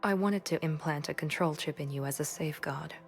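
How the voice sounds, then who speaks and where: An actress playing a proud, guarded operative in a video game, with a controlled, earnest delivery. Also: female voice, low voice, fairly quick, soft background music. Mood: confessional. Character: guarded, proud female operative with a troubled past.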